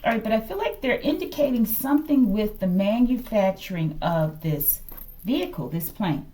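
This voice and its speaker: A woman giving an improvised tarot reading in casual talk, thinking aloud haltingly and often checking in with her listeners. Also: mid-range voice, animated, mellow. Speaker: tarot reader and channel host.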